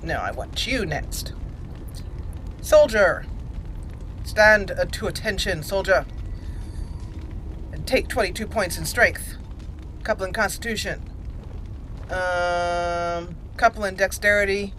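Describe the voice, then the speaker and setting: A woman's voice: medium pitch, slow pace, pauses often, fairly bright tone, slight background noise. A woman hosting a casual video game playthrough, chatting and thinking aloud over game ambience. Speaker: host of a gaming channel.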